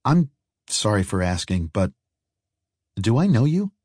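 A man's voice: fairly low pitch, big pitch swings, a little slow, darkish tone, husky, dry recording, clean studio sound, exaggerated voice. A man voicing a hesitant, curious, apologetic male travel companion in an audiobook, tentative and questioning.

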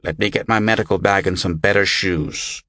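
A man voicing a brisk, irritable bearded monk in an audiobook, with a dry, curt manner. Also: low voice, bright, forceful tone, no room sound. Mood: dry, calm. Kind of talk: audiobook character voice.

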